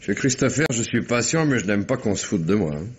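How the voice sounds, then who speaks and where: A man's voice: on the low side, expressive, a little fast, close to an everyday voice. A man playing a shrewd, unflappable police commissioner in a mid-century French radio crime drama, speaking in a dry, terse, colloquial way. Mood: cool interrogation. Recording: dull, muffled old radio recording.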